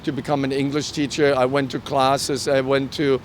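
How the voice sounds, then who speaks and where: A middle-aged man from Germany, a native German speaker with a German accent, telling personal stories earnestly and haltingly in an interview. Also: level tone. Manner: halting, earnest delivery with self-quoted dialogue. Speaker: interview guest. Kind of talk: personal storytelling in a conversational interview. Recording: outdoor street recording with traffic rumble.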